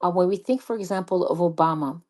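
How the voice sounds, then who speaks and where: A woman from Montreal with a voice, calling in to a radio show and speaking hesitantly with many pauses. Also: fairly low pitch, a little mellow. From Montreal, Canada. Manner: hesitant, many ums and uhs. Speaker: caller. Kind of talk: call-in radio comment.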